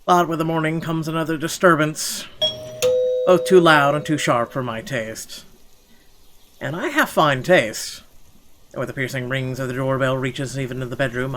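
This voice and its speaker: Deep-voiced man reading a horror story. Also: moderate pace, noisy background. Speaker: gaming video host. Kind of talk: dramatic reading aloud of visual novel narration. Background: game music.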